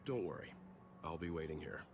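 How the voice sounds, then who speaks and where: A man playing a calm, dignified, courteous gentleman in a video game, measured and reserved. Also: very deep voice. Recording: music playing over the voice.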